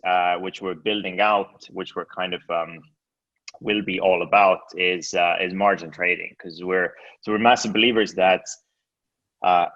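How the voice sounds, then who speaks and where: A man from Estonia talks in a very low voice, stopping and restarting his sentences and filling the gaps with "uh" and "um". The sound is dull and lo-fi, and the pitch stays deep throughout. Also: fairly bright tone. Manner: hesitant, many fillers and restarts. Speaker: guest, exchange co-founder. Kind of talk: casual interview.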